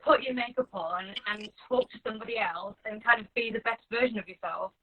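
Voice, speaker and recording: A woman chatting in a friendly, relaxed way over tinny, phone-like livestream audio. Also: a little high, brisk, a little hiss or hum. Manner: friendly and relaxed, says "like" a lot. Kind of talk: casual video chat.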